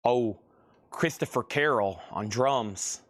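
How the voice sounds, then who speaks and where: A man hosting a live record sale stream, briskly listing items and current bids with chatty asides to viewers. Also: mid-range voice.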